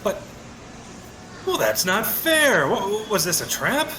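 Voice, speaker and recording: A man acting in an audio play as an anxious, indecisive everyman customer with a halting, uncertain delivery. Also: high voice, very animated, full character voice. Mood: alarmed. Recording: clearly noisy background.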